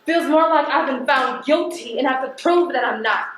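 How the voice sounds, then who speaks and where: A woman's voice: sits high, moderate pace, a little hiss or hum, natural voice. An actress on stage playing a defiant, embittered working-class woman postal carrier from the projects, speaking in an emphatic, confrontational, colloquial way. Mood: angry, accusing. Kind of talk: stage play acting. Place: theatre stage. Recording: very echoey stage recording.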